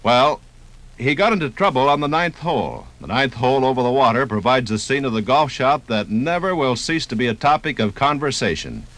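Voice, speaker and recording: An American man presents as a 1950s radio narrator and interviewer. His pitch is average for a man. The delivery is scripted storytelling. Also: very animated, brisk, a bit bright, rough recording.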